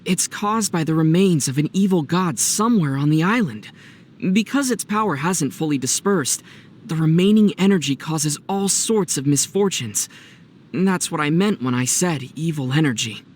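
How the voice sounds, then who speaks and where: A man playing a gentle, thoughtful young adventurer in a video game, calm and lightly teasing. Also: fairly high pitch, normal speed, a little hiss or hum, close to an everyday voice.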